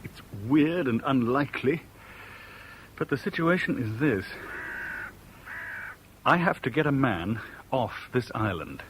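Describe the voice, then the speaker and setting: A man's voice: mid-range voice, animated, unhurried, pauses often, a bit bright, poor audio. An actor playing a brooding, reluctant man caught in intrigue in a 1970s radio drama, speaking in a weary, dry, troubled way. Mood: troubled, subdued. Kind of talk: 1970s radio drama acting, with first-person narration. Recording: hissy 1970s radio broadcast recording.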